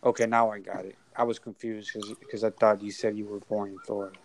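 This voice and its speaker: A man's voice: on the low side, flat delivery, quick pace. A man chatting casually in an online group hangout, asking lots of questions. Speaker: regular hangout participant.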